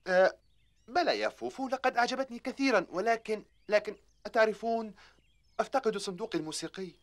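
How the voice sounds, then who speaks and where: A woman voicing a gentle, sad male cartoon friend who sighs and mourns a lost treasure. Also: fairly high pitch, natural voice. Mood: wistful. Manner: mournful, sighing, quick.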